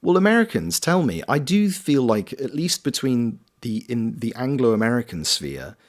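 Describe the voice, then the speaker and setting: A man's voice: mid-range voice, big pitch swings, normal speed. A British man streaming a game, chatting casually and reading viewers' comments aloud, greeting them by name. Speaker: streamer and voice actor.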